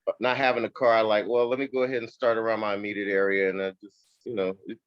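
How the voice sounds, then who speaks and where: A man's voice: lowish voice, level tone, quick pace, smooth. A man chatting casually in a rambling, laid-back way as an attendee on a video call. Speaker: real estate agent attending webinar. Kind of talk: casual chat. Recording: dull lo-fi call audio.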